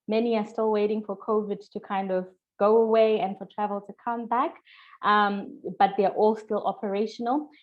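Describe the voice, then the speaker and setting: Chatty woman presenting on a webinar, lots of ums, kinda muffled call audio. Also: mid-range voice. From Zimbabwe. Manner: upbeat, chatty, filler-heavy. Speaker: programs manager presenting. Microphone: video call. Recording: dull lo-fi video call audio.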